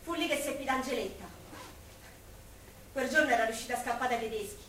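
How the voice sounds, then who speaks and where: Actress doing passionate roman working class woman, wartime stage play, bright high voice, super echoey far mic. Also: a little fast, close to an everyday voice. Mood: sorrowful, narrating. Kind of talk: Italian stage drama acting. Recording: echoey theatre, distant mic, faint noise.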